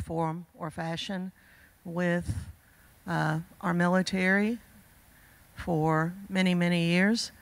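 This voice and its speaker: An older woman speaks slowly and earnestly at a podium, pausing often and filling gaps with small hesitations. Her voice is mid-pitched and close to the mic, with a dry, direct sound. She talks like a longtime teacher addressing a school ceremony. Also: no room sound. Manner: earnest, with many fillers and pauses. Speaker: teacher presenting awards. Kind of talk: heartfelt speech at a school ceremony. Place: school auditorium stage at a podium. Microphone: podium microphone.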